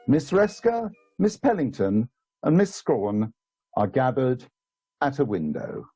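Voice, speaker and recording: A man's voice: medium pitch, big pitch swings, unhurried, warm tone, breathy edge, soft background music. A man narrating a radio play from a script, introducing it as the narrator.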